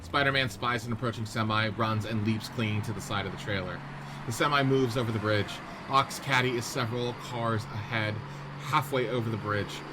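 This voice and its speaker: Male script narrator with clear background noise. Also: on the low side, steady tone, normal speed. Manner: descriptive, present-tense scene setting. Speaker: narrator reading stage directions. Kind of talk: scripted radio-play narration.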